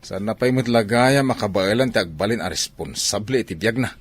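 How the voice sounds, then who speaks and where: A man acts a disapproving older brother from an Ilocano village. His voice is on the low side and husky, grumbling along in homespun comedy drama style. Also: a little fast, dry recording. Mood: scornful. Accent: Filipino, Ilocano. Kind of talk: amateur comedy drama acting.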